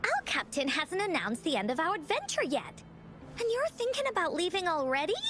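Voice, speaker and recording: A woman voicing a spirited, imaginative little girl storyteller in a video game, with a bubbly, childlike and expressive delivery. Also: extremely high pitch, fairly quick, slight background noise, exaggerated voice. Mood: playful, encouraging.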